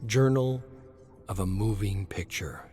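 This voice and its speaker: A man reading scripted story narration in a measured, deliberate way. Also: on the low side, warm tone, faint music behind.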